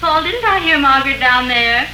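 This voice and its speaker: A woman's voice: sits high, animated, moderate pace, noisy background. An actress playing a patient, firm mother in a 1940s radio serial, calling out to her child from a distance. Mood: coaxing. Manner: calling out, coaxing but firm.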